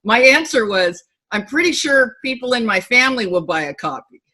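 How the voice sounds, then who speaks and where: Middle-aged woman, bright voice, animated and candid interview guest on a video call. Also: a little high, moderate pace. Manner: animated storyteller, emphatic and candid. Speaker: author guest. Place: home room with bookshelves.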